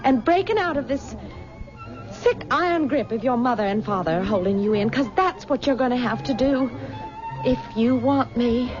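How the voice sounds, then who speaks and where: A woman's voice: on the high side, animated, normal speed, over music, close to an everyday voice. An actress playing a spirited young woman in love, earnest and firm, on a lo-fi 1970s radio drama recording. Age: young adult.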